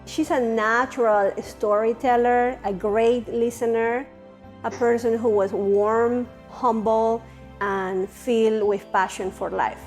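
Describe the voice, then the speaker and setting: A woman's voice: fairly high pitch, steady tone, slow, a little mellow, faint music behind. A woman speaking admiringly about a friend's life in a tribute interview. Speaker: documentary interviewee.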